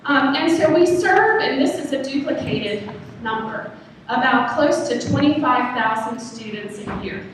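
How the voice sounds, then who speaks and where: Loud woman presenting in a hall. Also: high voice, lively intonation, unhurried. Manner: chatty, engages the audience with questions. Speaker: director of a college learning center. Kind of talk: conference presentation. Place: conference room. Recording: echoey hall, distant mic, faint noise.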